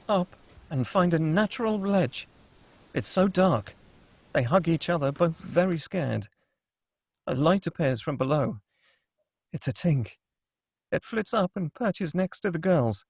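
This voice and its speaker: A man's voice: a little high, husky. A man reading scripted stage directions as the narrator of a screenplay table read.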